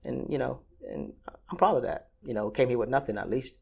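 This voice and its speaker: A man's voice: medium pitch, brisk, vocal fry, slightly rough. A Vietnam-born ramp agent in his thirties, answering interview questions casually with many fillers and restarts.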